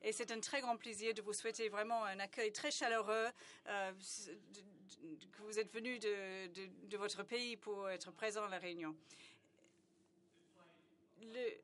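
A woman's voice: medium pitch, a bit bright. A woman giving live simultaneous interpretation at a conference, with many hesitations, fillers and restarts.

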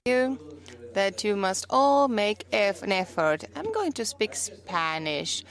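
Woman interpreting live, slow and deliberate, fixing words as she goes. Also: mid-range voice, very animated. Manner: rephrasing on the fly with small restarts.

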